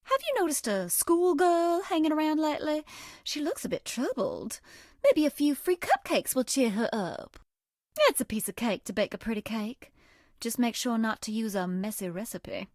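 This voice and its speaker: A sugary, high-voiced Southern belle. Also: female voice, sing-song, normal speed, darkish tone, no echo, clean studio sound. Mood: worried. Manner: coy, sugary, folksy. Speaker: voice actress. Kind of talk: video game character voice lines.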